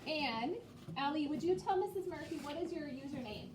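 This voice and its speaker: A woman's voice: high voice, expressive, moderate pace. A woman teaching elementary children in a classroom, giving directive, step-by-step instructions. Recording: echoey room, distant mic, faint noise.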